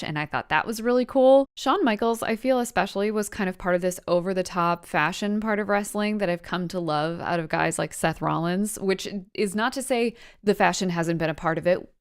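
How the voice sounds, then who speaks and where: Clear-voiced, enthusiastic woman vlogger. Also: lowish voice. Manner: informal, slangy, effusive. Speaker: host. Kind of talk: enthusiastic vlog commentary.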